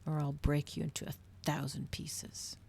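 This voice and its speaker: Woman acting cruel male vampire, storybook villain, low creaky voice, theatrical, taunting, faint background noise. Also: little pitch movement, moderate pace, a little mellow. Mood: threatening. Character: domineering, sneering male vampire with cruel temper.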